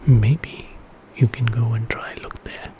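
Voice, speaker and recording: An elderly village mother , acted by a male storyteller, offers advice in a low whisper. She is helpful and quick to contradict, a figure in an ASMR folk tale. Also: flat delivery, mellow, lightly acted, low-quality recording.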